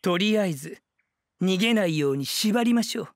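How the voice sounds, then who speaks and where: A man playing a composed, polite young cartoon lord with soft-spoken, gentle authority. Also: sits high, expressive, moderate pace, no echo, clean studio sound. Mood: calm, courteous.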